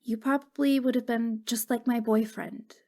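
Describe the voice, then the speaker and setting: A woman playing a wistful, wounded ghost girl in an intimate ASMR roleplay, speaking in a halting, fragile, stammering way. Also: a little high, steady tone, darkish tone. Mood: hurt, resigned. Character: wistful, wounded ghost girl, long dead since wartime.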